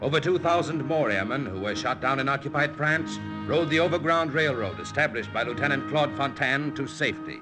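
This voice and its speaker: A man's voice: mid-range voice, expressive, a little fast, hardly any pauses, loud music behind the voice. A man giving a formal, dramatic scripted announcement in 1940s radio style.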